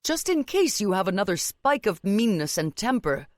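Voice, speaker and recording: A woman voicing a stern mother-in-law in an audiobook, coolly angry, calm and measured. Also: mid-range voice, no echo, studio quality, voice pushed up, natural voice. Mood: cool warning. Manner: cool, calm, measured, menacing.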